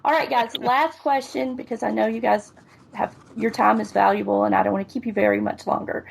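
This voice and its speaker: A woman's voice: fairly high pitch, steady tone, a little fast, creaky, a little hiss or hum. A woman hosting a podcast interview, chatting casually with guests in a teasing way and laughing often. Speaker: podcast host.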